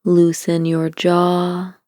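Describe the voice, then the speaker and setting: Slow, low-voiced woman guiding meditation. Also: dry recording, studio quality. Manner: calm, soothing, deliberate. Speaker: guided meditation narrator. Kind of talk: scripted guided meditation, slow gentle instruction.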